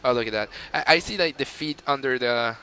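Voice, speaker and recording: Bright-voiced French Canadian gamer. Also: male voice, medium pitch, a little fast, slight background noise, low-quality recording. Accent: Quebec French. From Montreal, Canada. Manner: rambling and chatty, with frequent fillers and laughs. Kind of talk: gameplay commentary.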